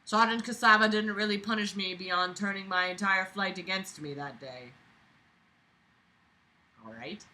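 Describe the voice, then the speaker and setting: A woman's voice: medium pitch, a little slow, long pauses, pushed and bright, low-quality recording. A woman reading a book aloud and breaking in with exasperated, sarcastic, swearing commentary in a theatrical way. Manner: sarcastic, scornful, swearing freely. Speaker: reader reviewing a book aloud.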